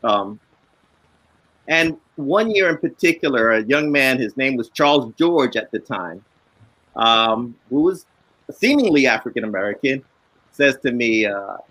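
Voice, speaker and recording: A man on a book panel telling personal anecdotes in a relaxed way, acting out the voices of people he quotes. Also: medium pitch, a little slow, no echo, rough recording. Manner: relaxed storyteller, acts out quoted dialogue. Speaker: author and illustrator on a book panel; teaches a children's book class.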